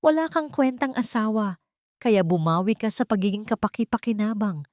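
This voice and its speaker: Woman doing a bossy old mother in law, raised pitch, scolding with contempt, audio drama, very dry sound. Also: moderate pace, darkish tone, dry recording, lightly acted. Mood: scornful scolding. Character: scornful, domineering elderly mother-in-law berating her daughter-in-law.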